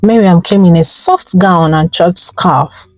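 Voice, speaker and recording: A Nigerian woman narrating a folktale in a theatrical, expressive style, drawing out words and exclaiming as she goes. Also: on the low side, a little slow, faint music behind. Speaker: storyteller. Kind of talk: dramatic folktale storytelling narration.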